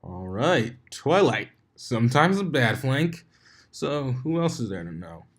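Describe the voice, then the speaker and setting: A man's voice: mid-range voice, sing-song, measured pace, slightly rough, voice pushed up. Give me a man playing a businesslike, studious unicorn mare. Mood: brisk. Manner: businesslike, note-taking.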